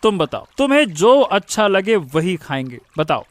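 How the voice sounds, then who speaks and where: A man's voice: high-pitched, very animated, moderate pace. A man voicing a romantic, attentive young husband in a cartoon story, dreamy and affectionate. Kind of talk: animated moral story dramatization.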